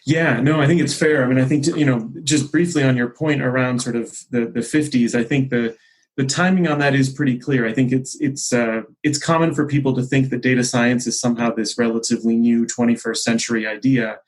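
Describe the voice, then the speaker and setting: A man speaking as an executive guest on a podcast, explaining things in a conversational, discursive and measured way. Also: mid-range voice, steady tone.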